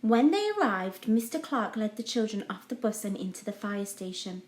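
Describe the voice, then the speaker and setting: A woman's voice: mid-range voice, big pitch swings, normal speed, darkish tone. A woman reading a children's story aloud as a scripted storytime narration. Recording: echoey room.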